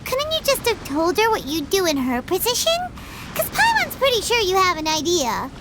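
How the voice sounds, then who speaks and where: A woman voicing a tiny, childlike fairy companion in a video game, chirpy, blunt and squeaky. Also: wildly swooping pitch, normal speed, heavy background noise, full character voice. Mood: puzzled, pestering. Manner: squeaky, third-person childlike chatter. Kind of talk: scripted video game voice acting.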